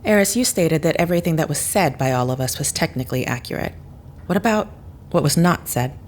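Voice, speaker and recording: Actress as low-voiced, formal analytical woman. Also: lively intonation, a little hiss or hum. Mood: serious, probing. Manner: measured, formal, exact. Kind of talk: audio drama acting.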